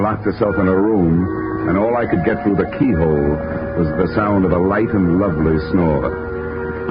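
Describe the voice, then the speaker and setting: A dull vintage radio recording with music carries an actor as a hard-boiled investigator. His lowish voice is dry and wisecracking, in the manner of 1950s radio detective drama. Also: close to an everyday voice. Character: wry, hard-boiled male insurance investigator. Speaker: investigator narrating his case.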